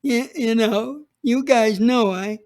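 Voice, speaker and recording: A man voicing a cowardly, panicky heavyset man who stammers and pleads in a high, strained voice. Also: slow pace, a little mellow, full character voice. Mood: pleading.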